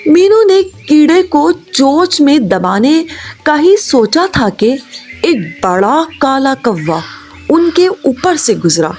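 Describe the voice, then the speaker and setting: A woman's voice: high voice, very animated, slow, a little mellow. A woman reading a scripted children's moral story as its narrator, with music behind her. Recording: music bed under the voice. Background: background music.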